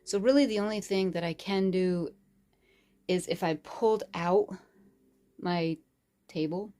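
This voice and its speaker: A woman with a sore, hoarse throat, casually talking through a craft tutorial in a small bedroom craft corner, rambling with lots of fillers. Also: fairly low pitch, mellow. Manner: rambling and chatty, with frequent fillers. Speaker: crafting channel host. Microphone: phone camera.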